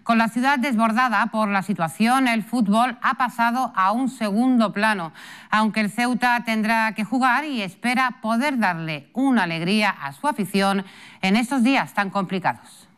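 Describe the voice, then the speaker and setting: A woman news anchor presenting scripted news with a crisp, formal delivery. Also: fairly high pitch, normal speed, a bit bright.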